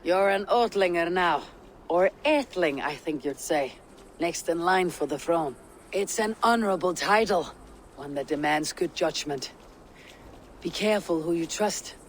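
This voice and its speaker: A woman playing a self-assured Viking warrior leader in a video game, direct and measured. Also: lowish voice, expressive, a little hiss or hum. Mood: steady. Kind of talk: video game cutscene acting.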